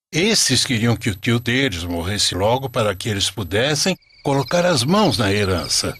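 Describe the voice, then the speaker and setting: Male storyteller, mid-pitched and animated, for religious cartoon narration; faint background noise.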